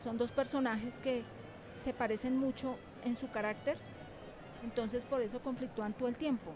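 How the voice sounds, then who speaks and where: A woman's voice: on the high side, steady tone, normal speed, music in the background. A woman teacher being interviewed, talking reflectively about her craft and repeating words and phrases for emphasis.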